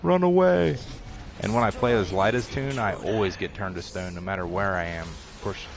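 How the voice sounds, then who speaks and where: A man's voice: on the low side, moderate pace, creaky, background noise. A man giving rambling, self-deprecating commentary while streaming a video game. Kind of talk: casual gameplay commentary.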